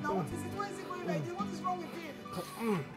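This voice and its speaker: High-voiced mother, sobbing, music behind. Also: sing-song, fairly quick. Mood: distraught. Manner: weeping, pleading. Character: distraught middle-aged mother wailing over her son.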